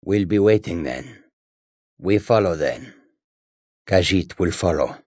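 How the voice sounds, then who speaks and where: An actor playing a sly, menacing, proud humanoid cat warrior in a fantasy video game, with a husky, raspy voice and deliberate delivery. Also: male voice, low voice, expressive, a little mellow, dry recording, clean studio sound, exaggerated voice. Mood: loyal, dutiful. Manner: slow, deliberate, often speaks of himself in third person.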